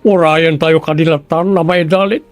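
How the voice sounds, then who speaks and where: A man's voice: on the high side, fairly even intonation, moderate pace, no room sound, soft background music, close to an everyday voice. An actor playing a bossy, nagging man with an Ilocano accent in a radio drama. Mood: reproachful.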